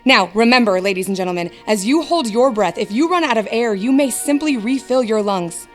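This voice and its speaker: A woman's voice: a little high, lively intonation, brisk, faint music behind, natural voice. A woman playing a magician's wife who announces his stage act in a formal, dramatic manner for an audio drama. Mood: dramatic, ominous. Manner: formal, dramatic address to an audience.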